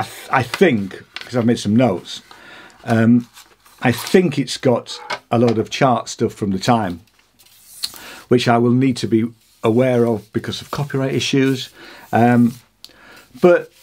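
A man in his sixties with a husky voice gives an informal hands-on demonstration, explaining hesitantly as he thinks aloud. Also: medium pitch, a little slow, long pauses, darkish tone, slight background noise. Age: 60s. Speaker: vlogger demonstrating vintage audio gear. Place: small home studio with foam panels, indoors.

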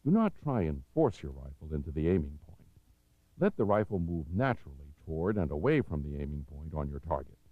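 Deep-voiced American man narrating instruction. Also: very animated, brisk, slight background noise. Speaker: training film narrator. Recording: dull, lo-fi 1990s videotape soundtrack.

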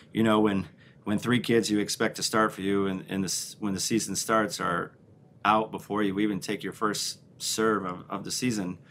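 A man's voice: deep voice, fairly bright tone, slight background noise. A middle-aged man, a head coach, answering questions at a postgame press conference, frank and full of restarts and "you know"s. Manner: frank, repeats words, many "you know"s. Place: press room, seated at a table. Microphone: table mic on a stand.

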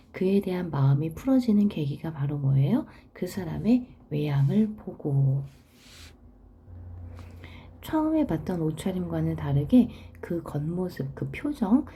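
A woman teaching literature, reading a story aloud and explaining it in a soft, half-whispered delivery. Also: low-pitched, very animated, measured pace, slight background noise.

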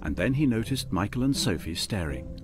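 A man narrating a radio drama as a measured, wry storyteller. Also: fairly low pitch, very animated, music in the background.

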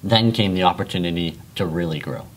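A man's voice: sits low, a little fast, a little hiss or hum. Earnest young man giving a graduation speech. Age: young adult. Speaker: valedictorian. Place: school library.

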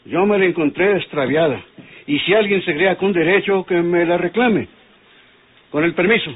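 An actor playing a brusque inspector who poses as a stranger in an old radio drama. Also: male voice, high voice, moderate pace, natural voice. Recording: tinny, very noisy vintage radio recording.

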